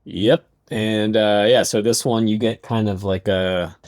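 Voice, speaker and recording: Low-voiced man chatting, halting delivery. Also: steady tone, darkish tone, no room sound, clean studio sound. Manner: halting, self-interrupting. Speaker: composer and podcast co-host. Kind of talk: casual reminiscing chat.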